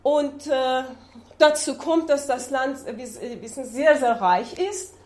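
A woman from Iran with a Persian accent, giving an adult-education lecture in a halting way with many fillers as she searches for words. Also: high-pitched, darkish tone, echoey room. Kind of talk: public lecture with map slides. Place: lecture room.

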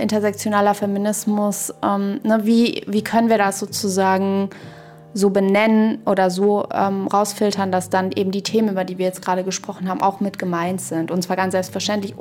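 A woman co-hosting a podcast in casual discussion, searching for words, rambling and trailing off mid-thought. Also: mid-range voice. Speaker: podcast co-host. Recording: voice over background music. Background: music.

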